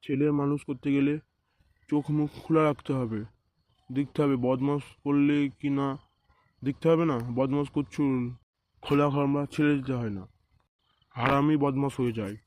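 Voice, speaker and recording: A man's voice: mid-range voice, steady tone, moderate pace, long pauses, darkish tone, natural voice. A man voicing a stern but consoling village father in a cartoon, pleading earnestly. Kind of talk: amateur comic cartoon dubbing.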